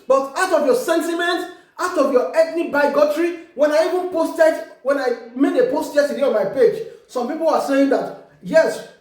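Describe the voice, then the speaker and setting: A woman's voice: high-pitched, a little mellow. A Nigerian woman from Ekiti with a Yoruba accent, speaking English as a second language and giving an emphatic opinion monologue with frequent repeated words and restarts. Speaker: online commentator. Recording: very echoey room.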